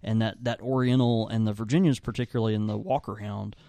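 A man's voice: fairly low pitch, fairly even intonation, quick pace, creaky, mellow, no echo. A young man co-hosting a podcast, talking in an easygoing, rambling way with lots of fillers. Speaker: podcast co-host and tobacco shop worker. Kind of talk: casual chat.

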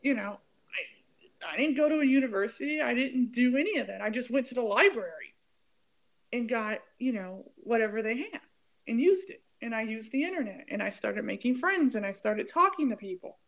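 A woman with a fairly high voice hosts a conversational interview. She talks in a chatty, emphatic way and leans into her opinions with a ranting edge. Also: lively intonation, brisk, low-quality recording. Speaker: podcast host. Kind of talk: casual interview chat.

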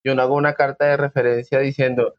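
A young man raised in Venezuela, traces, musing aloud and asking questions in a relaxed chat. His voice is dry and close, slightly dull sound. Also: mid-range voice, fast talker, no echo. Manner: wondering, thinks aloud. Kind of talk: casual group chat.

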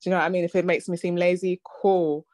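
A woman in her thirties talks through her thoughts on a podcast, pausing and restarting as she reflects. Her voice sits low for a woman. The sound is dry and close, with no room around it. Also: flat delivery, a little mellow, dry recording. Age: 30s. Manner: reflective, thinks aloud with fillers and restarts. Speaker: podcast host. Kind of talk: casual conversation.

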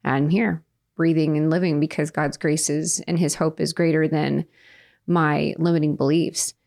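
A woman giving an earnest, reflective personal testimony about her faith, pausing and restarting as she speaks. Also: low-pitched, flat delivery, vocal fry, a little mellow. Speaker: interviewee sharing her faith story.

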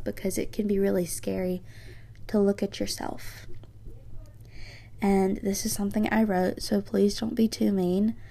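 A teenage girl hosting a personal podcast, speaking softly and reflectively with a low voice, reading from her journal. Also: vocal fry. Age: teens. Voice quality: low voice for a woman. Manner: soft-spoken, reflective, slightly hesitant. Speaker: podcast host.

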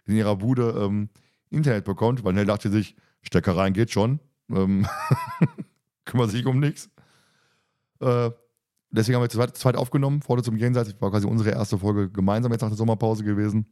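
Male podcast host, lowish pitch, rapid delivery, talkative, joking, dry sound. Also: fairly even intonation, mellow, dry recording. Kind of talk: casual podcast chat and announcements.

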